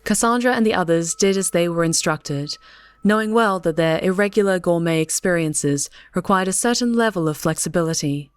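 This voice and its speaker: A woman's voice: on the low side, moderate pace, smooth, dry recording. A woman reading scripted story narration as an audiobook narrator. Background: soft music.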